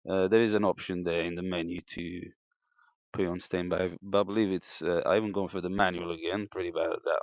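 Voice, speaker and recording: A man giving an unscripted hands-on equipment demonstration as a hobbyist, thinking aloud in a hesitant, halting way. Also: low-pitched, gravelly. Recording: dull, lo-fi, echoey room sound.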